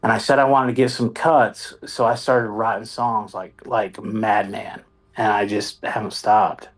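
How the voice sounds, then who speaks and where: A man's voice: on the low side, steady tone, a little slow. A man, a military veteran and songwriter, telling his story in a candid, rambling, self-deprecating way as an interview guest.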